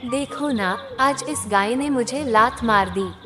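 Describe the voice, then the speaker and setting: Farmer's wife female actor, story cartoon. Higher voice, unhurried pace, practical, expressive and given to complaint. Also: over music. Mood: complaining. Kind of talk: animated Hindi moral-story voice acting.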